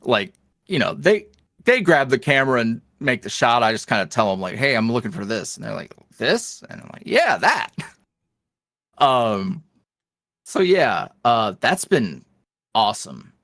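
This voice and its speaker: A man's voice: mid-range voice, sing-song, a little slow, fairly bright tone, no room sound. Man, young adult, podcast guest, rambling, filler-heavy, dry recording. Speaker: podcast guest, roleplay creator. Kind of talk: casual interview chat.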